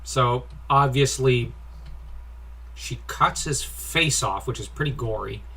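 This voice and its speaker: Slow-talking man with a bright, critical edge. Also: medium pitch, husky. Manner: nitpicky, critical, sighing. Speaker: comic book reviewer. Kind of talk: informal comic review commentary with read-out dialogue.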